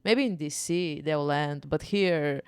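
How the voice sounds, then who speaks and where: A young woman from Bulgaria with a Bulgarian accent, a stand-up comedian chatting casually, laughing often and telling self-mocking stories at a quick clip. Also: sits low, clean tone, no echo, studio quality. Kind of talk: casual chat.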